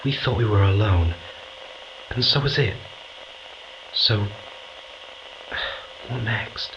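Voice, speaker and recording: A man playing an anxious, brooding astronaut on a remote moon base in an audio drama, speaking haltingly with breathy urgency. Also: fairly low pitch, dull audio. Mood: awed, fascinated. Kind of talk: audio drama acting, confiding recorded log. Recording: clear background noise.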